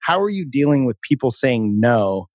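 A man's voice: mid-range voice, big pitch swings, moderate pace, a little mellow, no room sound, clean studio sound. A man hosting an interview in a conversational, informal way. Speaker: interview host.

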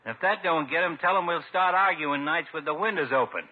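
An actor playing a cocky, folksy small-town barber with slangy, wisecracking talk, in 1950s radio comedy style. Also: male voice, fairly high pitch, brisk, lightly acted. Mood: breezy. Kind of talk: 1950s radio sitcom acting. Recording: muffled narrow-band 1950s radio recording.

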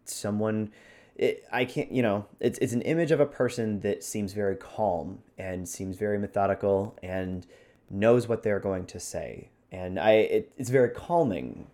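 A man's voice: fairly low pitch, measured pace, mellow. A man talking casually as a podcast host in a discussion of performance technique.

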